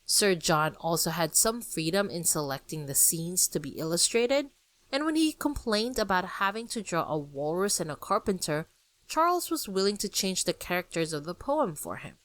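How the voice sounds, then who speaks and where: Woman doing a podcast, reading her script, low-ish voice that bounces around a lot, dry close sound. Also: moderate pace, no echo. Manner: lively, explanatory storytelling. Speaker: podcast host. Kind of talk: scripted narration on art history.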